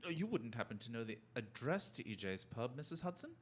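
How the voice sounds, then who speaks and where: A man acts out a self-assured Victorian London detective in a radio play. His mid-pitched voice swings expressively, and his delivery is droll, precise and theatrical. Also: big pitch swings, a little fast, slight background noise, lightly acted. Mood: genial. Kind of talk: theatrical radio drama acting.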